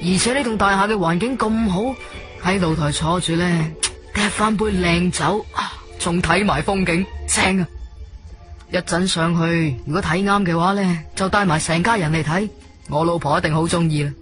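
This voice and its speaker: A man's voice: sits high, normal speed, fairly bright tone. An actor playing an eager, fussy male home buyer in a Cantonese radio drama, musing aloud to himself. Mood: pleased, hopeful. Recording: music bed behind studio voice.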